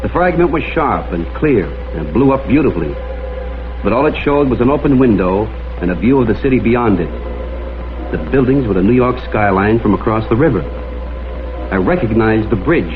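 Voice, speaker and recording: A man's voice: lowish voice, lively intonation, moderate pace, natural voice. A man with an American accent playing a tough, dogged detective in a vintage noir drama, speaking and narrating in a terse, hard-boiled way. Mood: narrating, determined. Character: tough, dogged male photographer detective, wry and stubborn. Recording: thin, tinny vintage broadcast, music behind.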